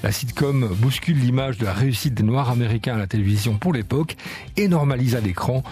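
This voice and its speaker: A man's voice: mid-range voice, fast, a little mellow. A man hosting a casual radio segment, telling a musician's story in a chatty way with frequent fillers. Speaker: radio show host.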